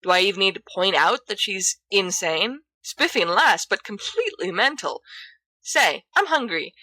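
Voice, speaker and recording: A woman's voice: medium pitch, big pitch swings, moderate pace, bright, forceful tone, no room sound. A woman voicing a boisterous, teasing, always hungry teenage schoolboy in a storybook reading. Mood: teasing, hopeful.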